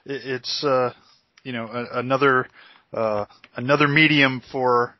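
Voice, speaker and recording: A man in his fifties interviewing a musician, asking questions in a halting way with many fillers. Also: mid-range voice, fairly even intonation, dry recording. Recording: dull lo-fi sound.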